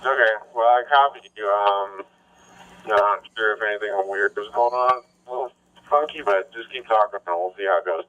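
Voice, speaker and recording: Low-voiced man over dull ham radio. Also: normal speed, a bit bright. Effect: radio transmission. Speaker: amateur radio operator. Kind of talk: casual two-way radio chat.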